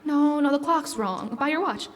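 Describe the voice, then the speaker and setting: A woman's voice: high-pitched, expressive, moderate pace. A woman acting a jittery, evasive suburban wife and mother in classic radio drama style. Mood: forced calm. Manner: jittery, repeating herself. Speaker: actress in a live stage radio play. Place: on stage in a school hall. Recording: echoey stage hall, music behind.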